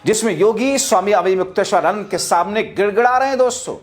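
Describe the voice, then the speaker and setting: A man's voice: high-pitched, fast talker. A man presents political news in a dramatic, emphatic, rhetorical style, addressing his viewers directly. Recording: music bed behind the voice. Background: background music.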